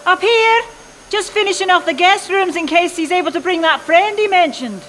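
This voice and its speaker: A woman's voice: extremely high pitch, moderate pace, a bit bright, a little hiss or hum. A woman acting a forthright, homely housekeeper and wife in an audio drama, with an emphatic, direct manner. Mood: cheerful. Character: level-headed but frightened, forthright housekeeper wife.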